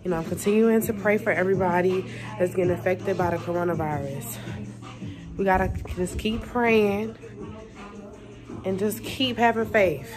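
A young woman with a Southern American accent, a mom chatting casually into a phone held at arm's length, in a relaxed, slangy way. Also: mid-range voice, moderate pace, long pauses, over music. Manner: chatty, laid-back, slangy. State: stuffy nose. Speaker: vlogger, mom. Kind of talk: casual selfie vlog chat. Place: car at a gas station, then a home kitchen.

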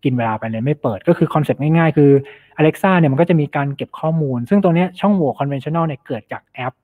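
A man's voice: on the high side, brisk. Man presenting tech, thin phone-like sound. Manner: explanatory, peppers Thai with English technical terms. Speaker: cybersecurity specialist presenting. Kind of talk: informal technical presentation. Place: online meetup over slides. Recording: thin phone-like online meeting audio.

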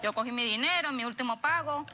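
A woman's voice: high voice, fast talker, bright, forceful tone, a little hiss or hum. A young woman with a Venezuelan accent, arguing her case on a TV show in a combative, insistent way and repeating herself. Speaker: guest litigant. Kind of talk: heated argument and personal account. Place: TV studio.

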